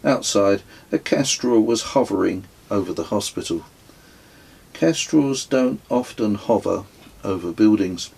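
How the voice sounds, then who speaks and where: A man reading his own memoir as an audiobook, telling it in a measured, wry storytelling way. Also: on the low side, darkish tone, breathy edge. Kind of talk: scripted audiobook narration.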